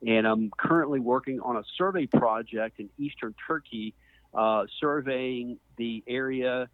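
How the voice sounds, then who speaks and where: A middle-aged man from the American Deep South talks as an interview guest over a thin, phone-like connection. His pitch is average and he explains things in a measured, conversational way, with no room sound. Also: no room sound. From Mississippi, US Deep South. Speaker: podcast guest, archaeologist. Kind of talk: conversational account of own background. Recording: muffled phone-like narrow band.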